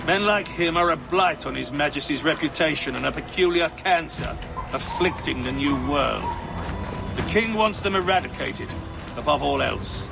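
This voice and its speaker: An actor playing a haughty, menacing navy commodore with a pompous, sneering manner in a game. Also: male voice, a little high, animated, moderate pace, gravelly, music in the background. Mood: contemptuous. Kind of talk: video game cutscene acting.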